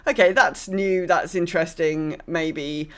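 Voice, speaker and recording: A woman's voice: on the low side, fairly even intonation, unhurried. A woman co-hosting a podcast in a casual gaming discussion, opinionated and thinking aloud, often repeating words for emphasis. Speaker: podcast co-host.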